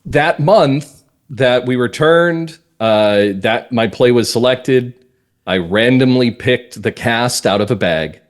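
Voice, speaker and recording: An American man talking as a guest writer in a conversational interview, explaining things reflectively and emphatically in short measured phrases. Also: medium pitch. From northern Massachusetts, US. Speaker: guest playwright and comedy writer.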